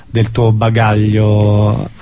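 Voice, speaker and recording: A man's voice: on the low side, completely flat delivery, extremely slow, mellow. Informal male radio interviewer. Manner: informal, prompting with short questions. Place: radio studio.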